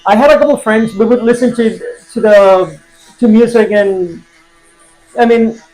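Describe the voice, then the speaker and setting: A man's voice: high-pitched, moderate pace, a little mellow, soft background music, rough recording. A man in his forties from Puerto Rico, a podcast guest chatting casually, with lots of fillers and restarts. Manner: hesitant, many fillers and restarts. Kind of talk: casual conversation.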